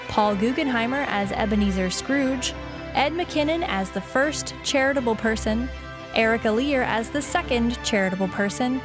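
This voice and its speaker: A woman announces the credits of an audio drama from a script. Her voice is a little high. Music plays clearly behind her.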